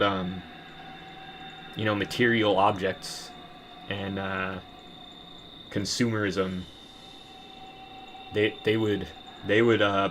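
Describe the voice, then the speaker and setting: Man, lowish voice, bitter profane rant, rambling with restarts. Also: sharp tone, slight background noise. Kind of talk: casual rant to camera.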